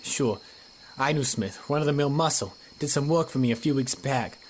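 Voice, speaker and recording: A man's voice: medium pitch, moderate pace, slight background noise, lightly acted. A man playing a cornered small-time racketeer in a noir radio drama, talking in a grudging, defensive, streetwise way. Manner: defensive, hesitant streetwise talk.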